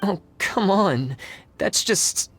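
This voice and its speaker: A meek male official in a video game. He speaks slowly and haltingly, stammering, with a wavering pitch and faint music behind. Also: lively intonation, a bit bright, exaggerated voice. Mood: flustered protest. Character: timid, hesitant male civil servant.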